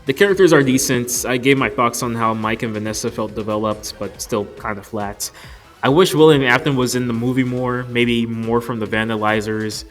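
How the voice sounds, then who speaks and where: A man in his twenties narrates an opinionated movie review. His pitch is average and his delivery is informal, with music playing behind him. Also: normal speed. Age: 20s. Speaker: video reviewer.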